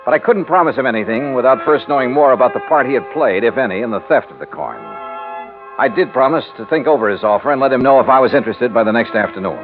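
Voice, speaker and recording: An actor playing a cool, wry American investigator in a 1950s radio crime drama, brisk and laconic, both narrating and in dialogue. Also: male voice, mid-range voice, expressive, natural voice. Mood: measured narrating. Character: cool wry male insurance investigator, narrating his case. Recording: tinny old radio recording with music.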